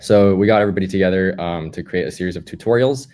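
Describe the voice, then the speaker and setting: A man with a very deep voice talks as a guest in an interview. He recounts his experiences in a casual, explanatory way, as if chatting through a story. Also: fast talker, warm tone. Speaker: guest, startup founder.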